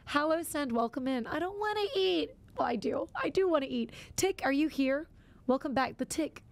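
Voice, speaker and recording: Chatty streamer woman with a gravelly edge. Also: high-pitched, animated, normal speed. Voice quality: scratchy. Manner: chatty, stammering restarts, reacting aloud. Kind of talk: casual live-stream chat while gaming.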